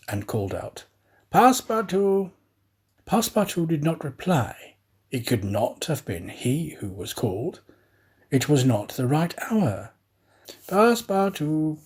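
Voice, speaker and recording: Guy narrating a storybook, theatrical and deliberate, lively pitch, kinda husky. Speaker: storyteller, professional actor. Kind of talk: expressive scripted storybook narration.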